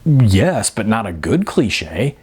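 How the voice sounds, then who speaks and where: A man voicing an awkward, gentle middle-aged man in an audiobook, halting and hesitant as he speaks. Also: on the low side, very animated, breathy edge, full character voice. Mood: awkward protest.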